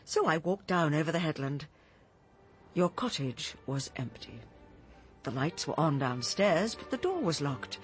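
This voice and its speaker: A woman's voice: deep voice, very animated, a little slow, soft background music. An actress playing a troubled, earnest woman who speaks hesitantly in a British radio drama. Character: troubled, earnest woman gently confronting her friend.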